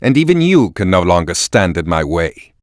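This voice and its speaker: A menacing megalomaniac villain in a video game. His lowish voice moves expressively, pompous and gloating. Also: sing-song, normal speed, no echo, studio quality. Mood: gloating menace.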